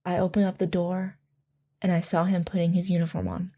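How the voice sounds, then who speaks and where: A woman's voice: on the low side, level tone, fairly quick, mellow. A woman playing a devoted soldier's wife and mother in an audio drama, telling her story tenderly and reflectively. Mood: wistful, reminiscing.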